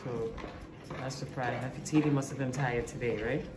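A woman's voice: very deep voice, steady tone, moderate pace. A woman in her thirties chatting casually with her young daughter while cooking at home, playful and sing-song at times. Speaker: vlogger mother. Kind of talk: casual family vlog chat. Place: home kitchen, indoors. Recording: echoey kitchen with loud background noise.

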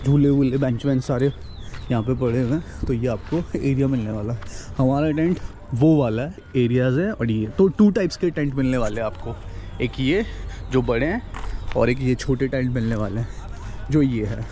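A man's voice: mid-range voice, normal speed, warm tone. A young man giving a casual walk-and-talk travel vlog outdoors at a hillside campsite, chatting and mixing English words into his speech. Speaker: travel vlogger. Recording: faint outdoor noise.

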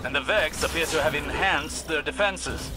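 An actor voicing a calm, authoritative male mission narrator in a video game. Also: fairly high pitch, natural voice. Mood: commanding. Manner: measured, authoritative. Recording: loud gunfire and game noise.

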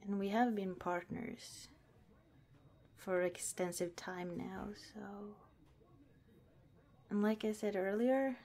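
A woman playing an affectionate, shy cop in a soft-spoken, intimate ASMR roleplay, gentle and hesitant with her partner. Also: mid-range voice, takes it slowly, long pauses. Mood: tender, shy.

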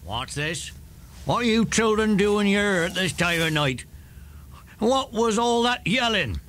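An actor playing a gruff but kindly country shepherd who scolds, in a children's audio drama. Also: male voice, sits high, animated, a little slow, fairly bright tone, a little hiss or hum. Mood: stern.

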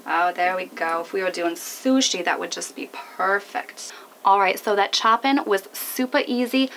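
A woman's voice: mid-range voice, normal speed, a bit bright, slight background noise, rough recording. A woman in her thirties hosting a casual sailing vlog, chatty and upbeat, talking to a handheld camera. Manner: chatty, upbeat, a bit playful. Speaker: sailing vlogger. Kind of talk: casual vlog chat and sponsor read. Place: out on a fishing boat on open water. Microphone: handheld camera held at arm's length.

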